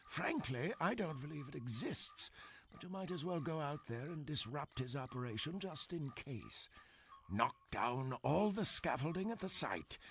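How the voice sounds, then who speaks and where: An actor voicing a dignified, scheming old man in a video game, with a formal, deliberate and theatrical manner. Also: fairly high pitch, a bit bright, slight background noise, full character voice. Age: older. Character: scheming, dignified old male resistance elder. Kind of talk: dramatic video game cutscene acting.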